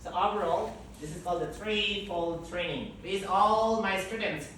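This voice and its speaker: A man, a Buddhist monk, teaching a group in slow, emphatic, non-native English and leading them in repeating terms. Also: high voice, fairly bright tone. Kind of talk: teaching a group, call and response. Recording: echoey room, from far off, with noise.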